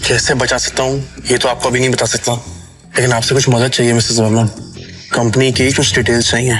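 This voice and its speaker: A man's voice: mid-range voice, flat delivery, a little fast, a bit bright, faint music behind, close to an everyday voice. Man acting earnest, insistent young husband. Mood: earnest, calm. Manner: urgent, polite, insistent. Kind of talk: Hindi audio drama acting.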